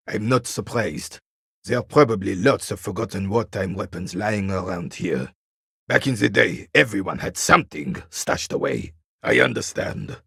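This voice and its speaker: An actor playing a proud, bitter old royalist soldier in a video game, stern and disdainful, with a gravelly, raspy voice. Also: male voice, on the low side, a bit bright, dry recording, studio quality, exaggerated voice. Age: older. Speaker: retired carabinier working as a guard. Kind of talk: video game character dialogue.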